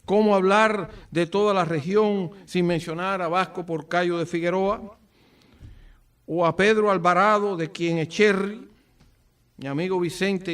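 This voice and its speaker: A Cuban man with a Cuban accent giving a formal commemorative speech, solemn and rhetorical, with deliberate phrasing and dramatic pauses. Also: high voice, fairly bright tone. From Cuba. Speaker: speaker at a book presentation. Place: event at an office venue. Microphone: far from the speaker. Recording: distant microphone.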